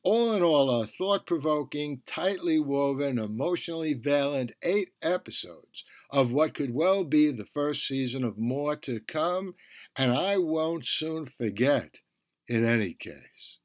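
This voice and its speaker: Slow-paced man reviewing on a podcast. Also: fairly high pitch, lively intonation, no echo. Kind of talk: scripted review narration.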